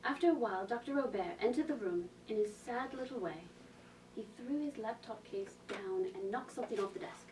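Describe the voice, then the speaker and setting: A woman's voice: mid-range voice, a little fast, close to an everyday voice. A woman playing a polite, earnest train passenger reading aloud in a radio play, in a storytelling style. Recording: roomy, distant mic, faint noise.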